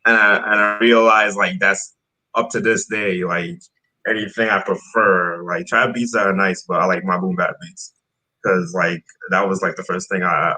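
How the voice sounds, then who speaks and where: A man from Trinidad in his thirties, relaxed and rambling as he tells his story with lots of fillers. His voice sits low but has a bright, forward edge. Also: low-quality recording. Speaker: guest rapper. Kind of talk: casual interview chat.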